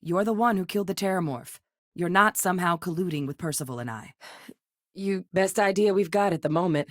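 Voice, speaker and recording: An actress playing a composed, earnest woman scientist with a military past in a video game, speaking in a measured, serious, articulate way. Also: on the low side, no room sound, clean studio sound, close to an everyday voice. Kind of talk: scripted game dialogue, naturalistic acting.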